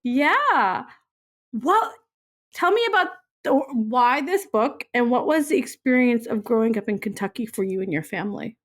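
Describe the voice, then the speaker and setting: A woman's voice: on the high side, expressive, moderate pace. A woman hosting a podcast interview, chatting casually with lots of "like" fillers. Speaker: podcast host.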